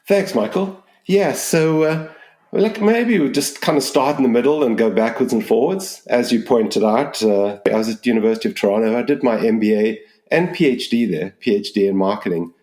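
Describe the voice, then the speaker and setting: A man in his sixties from Zimbabwe with a mixed Southern African accent, answering interview questions in a measured, explanatory way. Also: mid-range voice, darkish tone, roomy sound. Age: 60s. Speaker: business school dean, interview guest.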